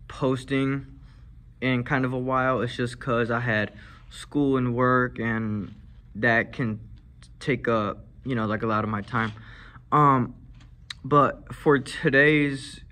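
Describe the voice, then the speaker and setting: Young guy who stutters, talks real slow, bright voice, small mic up close in a classroom. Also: medium pitch. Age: young adult. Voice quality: stutters, with blocks and repeated sounds. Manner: soft-spoken, frequent fillers. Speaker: vlogger. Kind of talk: casual personal vlog. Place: indoor classroom. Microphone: handheld lavalier mic held near mouth.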